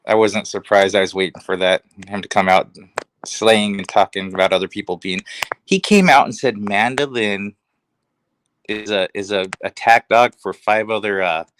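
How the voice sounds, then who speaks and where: A man's voice: lowish voice, normal speed, fairly bright tone, a little hiss or hum, rough recording. A man on a livestream panel giving casual, opinionated commentary, restating his points as he goes.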